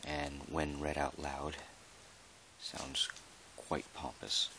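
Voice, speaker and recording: A man playing a measured, dry park employee who dictates an official audio log in a halting, deliberate way, in ASMR roleplay style. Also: rumbling low, steady tone, creaky, pushed and bright, slight background noise, full character voice. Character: male dinosaur park employee, measured, dryly put-upon record keeper.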